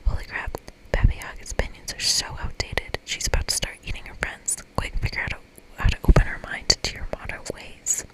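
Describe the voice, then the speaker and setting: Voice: fairly quick, fairly bright tone, rough recording. An ASMR host speaks entirely in a whisper while playing a story game. The reading is casual, broken up by playful personal asides. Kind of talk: whispered ASMR game read-aloud and commentary.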